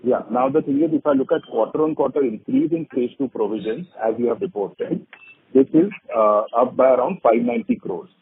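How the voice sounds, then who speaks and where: Husky man over a phone. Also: mid-range voice, brisk. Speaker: analyst asking questions. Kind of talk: earnings call questioning. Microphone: phone line. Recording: muffled phone conference line.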